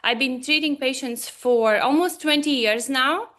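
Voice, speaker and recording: Woman, Latvian accent, high bright voice, lively chatty guest on a video call. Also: unhurried. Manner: animated, slightly broken English. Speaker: guest, Chinese medicine doctor. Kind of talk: casual interview talk.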